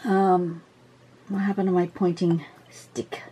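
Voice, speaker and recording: A woman with a hoarse voice from a cold and laryngitis, talking casually through a drawing tutorial, chatty and rambling with fillers and throat clearing. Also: on the low side, little pitch movement, slow pace, dark tone, slight background noise. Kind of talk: casual instructional talk. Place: indoors at a table, filming a phone screen.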